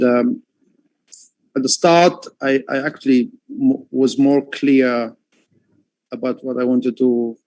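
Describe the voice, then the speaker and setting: A man from Egypt speaks slowly and haltingly, filling his pauses with "um" and "uh" as he explains his art. His voice has a gravelly edge, and the sound is dry and close, with no room echo. He talks over a headphone setup on a live call. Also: medium pitch, a little mellow. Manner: hesitant, many fillers and restarts. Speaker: artist. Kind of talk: livestream talk.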